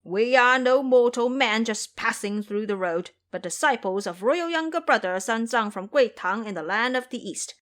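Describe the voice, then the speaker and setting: A woman's voice: fairly high pitch, expressive, normal speed, few pauses, a bit bright. A woman voicing a blustering, cautious male pig-spirit disciple, blunt and grumbling, in an audio drama. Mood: blustering, angry.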